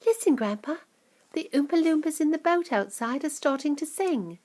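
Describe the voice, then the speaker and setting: A little storybook boy speaks softly and eagerly, as a woman reader puts him on. His voice is hushed and light. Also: sits high, expressive, moderate pace, pitched above the performer's own voice. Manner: whispering.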